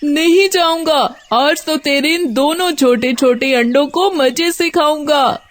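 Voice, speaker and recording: A woman's voice: sits high, slow pace. A woman voicing a wicked, greedy male snake who gloats and threatens in a children's moral-story cartoon. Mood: menacing. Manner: gloating, menacing self-talk.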